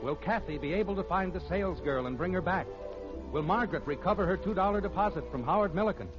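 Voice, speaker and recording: A man's voice: high-pitched, lively intonation, quick pace. A man playing a mock-dramatic American narrator of a radio sitcom, reading scripted narration. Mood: mock suspense. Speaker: announcer. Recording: muffled old radio recording with music.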